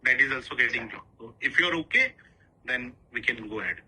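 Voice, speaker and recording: A man acting as a contest host, speaking in a halting, hesitant way. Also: mid-range voice, animated, bright, forceful tone, a little hiss or hum, poor audio.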